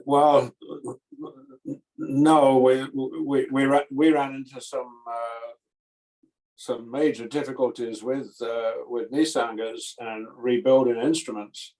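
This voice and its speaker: Man, slow pace, medium pitch, halting, casual club member on a conference call. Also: level tone, a little mellow, poor audio. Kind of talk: casual recollection. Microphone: telephone or conference call line.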